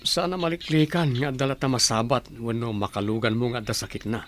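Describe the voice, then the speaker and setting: A man playing a young husband working abroad in a radio drama, talking in a lively, teasing way. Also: mid-range voice, brisk, slight background noise, natural voice. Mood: friendly. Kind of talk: amateur radio drama acting.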